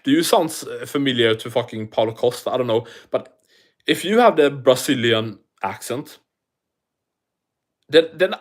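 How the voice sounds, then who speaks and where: Man, thick foreign accent, livestream host, loose rambling talk, lots of swearing, mid pitch. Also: expressive, normal speed, long pauses. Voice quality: thick non-native English accent. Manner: rambling, profane, restarts often. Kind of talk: casual livestream chat, answering viewer comments.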